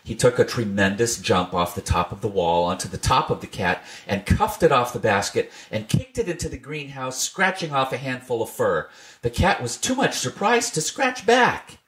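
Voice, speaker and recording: A man reading a classic children's storybook aloud in a gentle, measured storytelling manner. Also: mid-range voice, very animated. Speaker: reader.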